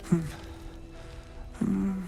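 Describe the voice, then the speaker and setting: A dazed, childlike video game figure. The words come extremely slowly in a hummed singsong, with music behind. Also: female voice. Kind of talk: sung humming in video game.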